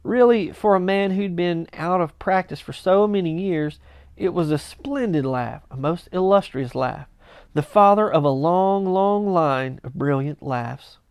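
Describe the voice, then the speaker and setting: A man reading a classic story aloud as narrator, with expressive, theatrical and emphatic delivery. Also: high voice, measured pace, clean tone, dry recording, studio quality. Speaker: narrator, audiobook reader.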